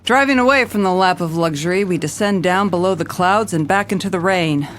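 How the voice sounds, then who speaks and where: A woman's voice: on the low side, a little fast, natural voice. An actress playing a hard-boiled, weary female police commissioner in a noir audio drama, with a wry, clipped delivery. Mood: wry, defiant. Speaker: narrator and lead character.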